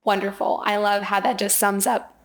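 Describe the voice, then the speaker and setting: A woman doing a casual spiritual oracle card reading, talking in a soft, rambling way while losing her voice. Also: mid-range voice, level tone, normal speed. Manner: soft, rambling, repeats and restarts phrases. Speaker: tarot and oracle card reader.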